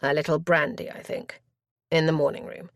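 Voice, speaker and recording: A woman voicing a composed young aristocratic man who speaks quietly and tersely. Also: deep voice, level tone, normal speed, no room sound, studio quality. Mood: restrained. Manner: quiet, clipped, restrained.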